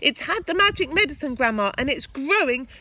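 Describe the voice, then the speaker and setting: Young storybook boy, played by a woman: high, loud and bright, eager, yelling with wonder. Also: animated, brisk, a little hiss or hum. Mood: excited. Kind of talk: children's storybook character voice.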